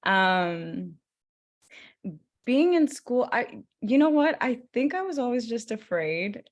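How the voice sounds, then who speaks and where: A woman's voice: a little high, big pitch swings, takes it slowly, lo-fi sound. Rambling young woman, casual interview guest. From Los Angeles, USA. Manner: rambling, many fillers and restarts. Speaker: guest actor.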